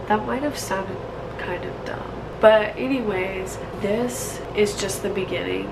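A young woman in college vlogging casually from a small dorm room, raspy and congested as her voice fades, gushing with lots of fillers. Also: mid-range voice, noisy background. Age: young adult. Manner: bubbly, gushing, filler-heavy. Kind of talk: casual unboxing vlog.

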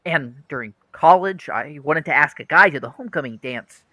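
A man's voice: on the high side, lively intonation, moderate pace, sharp tone, no echo. An actor playing an earnest, troubled young man in a radio play, hesitant and confessional. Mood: confessional, embarrassed.

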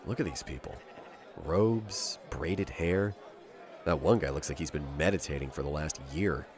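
A man's voice: rumbling low, normal speed, some chatter behind. A man playing a brash, sarcastic, sceptical starship skipper in a sci-fi audio drama, wry and incredulous. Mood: wry, watchful.

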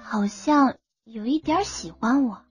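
Young female student in love, played by a woman, high, soft, slightly husky, shy but stubborn, audiobook romance. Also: slow, soft background music, full character voice. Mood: shyly secretive. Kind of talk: audiobook character acting.

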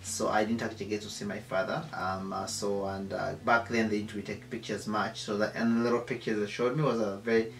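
Ugandan man, halting chat, echoey room. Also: on the low side, little pitch movement, slight background noise. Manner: halting, many fillers and restarts. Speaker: co-host, husband. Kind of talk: casual chat. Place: living room, seated on a couch.

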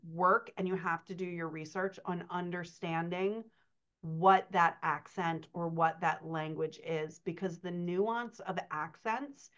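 Lady editor on a video call, lowish voice, kinda muffled lo-fi audio, laughs a lot while she talks. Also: unhurried. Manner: chatty, laughs often, animated storytelling. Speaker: writing coach and editor. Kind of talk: casual podcast chat over video call. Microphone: computer mic on video call. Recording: slightly dull lo-fi call audio.

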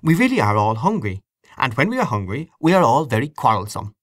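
A man's voice: medium pitch, very animated, a little fast, no room sound, pitched above the performer's own voice, natural voice. A man reading an audiobook, voicing a polite young woman, a niece who coaxes and pacifies. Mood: pacifying. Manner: pacifying, coaxing. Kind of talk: acted audiobook dialogue.

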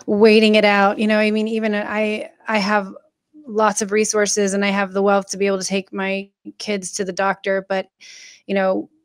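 A woman's voice: medium pitch, monotone, normal speed, clear, resonant tone. A woman with a mid-range voice hosts a panel podcast. She talks in a loose, chatty way, full of asides. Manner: conversational, chatty. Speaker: podcast host. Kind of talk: casual panel chat.

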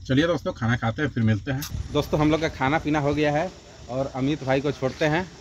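A man's voice: on the high side, quick pace, a little hiss or hum. A man from India narrating a casual trucking and cooking vlog step by step, addressing viewers as friends. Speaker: truck driver vlogger.

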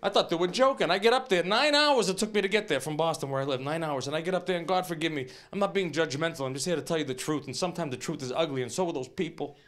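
A man in his forties doing animated, incredulous stand-up comedy, often interrupting himself. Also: fairly high pitch, fast talker, hardly any pauses. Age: 40s. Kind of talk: stand-up comedy before a live audience. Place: small TV studio stage with audience. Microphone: handheld microphone, later on a stand. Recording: live studio audience laughing.

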